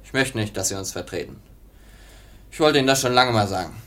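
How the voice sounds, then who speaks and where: An actor playing a cold, condescending company boss with a brisk, patronising manner in a 1950s German radio drama. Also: male voice, lowish voice, animated, fairly bright tone.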